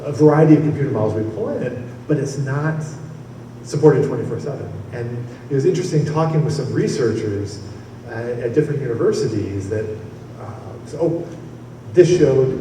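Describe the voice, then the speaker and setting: A man's voice: medium pitch, mellow. A man from the weather service answering on a conference panel, rambling with restarts and small chuckles. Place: conference hall. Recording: echoey hall from far off, noisy.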